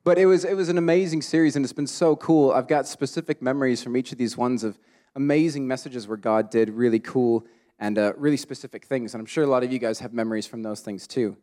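A man's voice: medium pitch, fast talker, warm tone. A man interviewing a church leader in a casual, upbeat way.

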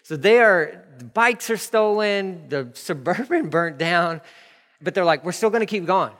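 A male pastor telling stories in a sermon, acting out the dialogue with lively shifts in voice. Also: high-pitched, measured pace. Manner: animated, acts out quoted dialogue. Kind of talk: sermon storytelling. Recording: long hall reverb.

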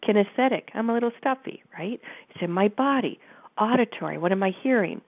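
A woman with a stuffy nose, calmly guiding listeners through an exercise from her office and speaking directly to them. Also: mid-range voice, fairly quick. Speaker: host and coach. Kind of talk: guided teaching talk. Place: home office. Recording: narrow phone-like line.

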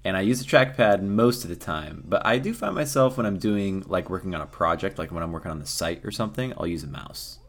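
A young man hosting a podcast in casual chat, with a booming voice and frequent laughter. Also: animated, a little fast, few pauses, soft background music. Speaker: podcast host.

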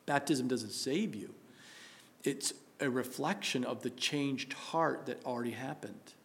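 A man preaching a sermon, building to loud emphatic stretches and dropping to a soft prayerful tone. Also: medium pitch.

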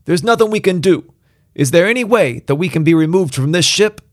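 A man playing a blunt, forceful security commander in alarm, with an emphatic, clipped official delivery. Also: sits high, expressive, brisk, no room sound, clean studio sound, voice pushed up.

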